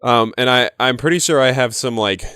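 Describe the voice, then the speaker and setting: Guy playing a tabletop rpg, just chatting, medium pitch, very dry recording. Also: brisk, sharp tone, clear, resonant tone, no room sound. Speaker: player in a tabletop role-playing game. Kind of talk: casual tabletop game chat.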